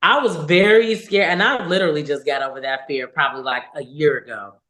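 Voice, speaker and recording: A woman's voice: sits low, a little fast, fairly bright tone. A young adult woman, a singer and podcast guest, talking candidly and animatedly about her life in a casual interview. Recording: echoey room.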